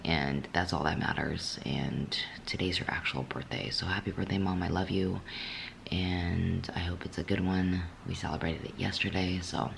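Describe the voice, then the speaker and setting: A young woman with a raspy voice, just woken up, talking candidly in a casual vlog from her apartment, with many fillers. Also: a bass voice, slight background noise. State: just woke up. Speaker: fitness vlogger.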